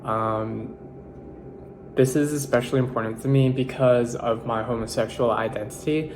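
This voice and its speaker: A man's voice: mid-range voice, fairly even intonation, darkish tone. Earnest young man reflecting aloud. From Philippines. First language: Tagalog. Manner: earnest, measured, with self-corrections and fillers. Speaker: nursing student. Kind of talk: reflective personal monologue.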